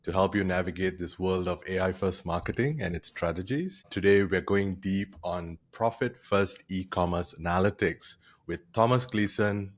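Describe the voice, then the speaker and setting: Deep-voiced male podcast host. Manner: upbeat, scripted welcome.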